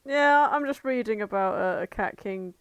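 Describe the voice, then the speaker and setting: A woman's voice: medium pitch, moderate pace, clean tone, dry recording, lo-fi sound. A woman co-hosting a casual chat, bantering with playful protest and swearing. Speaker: podcast co-host.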